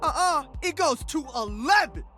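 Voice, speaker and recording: Upbeat, high-voiced young musician hero. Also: male voice, animated, normal speed, sharp tone, exaggerated voice. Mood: laid-back, playful. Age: young adult. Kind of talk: video game character voice acting.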